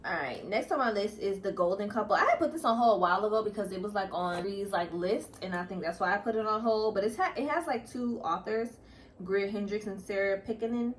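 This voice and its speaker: Woman, mid-pitched voice, easygoing colloquial book talk, co-host, light background noise. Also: a little fast. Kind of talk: casual book chat.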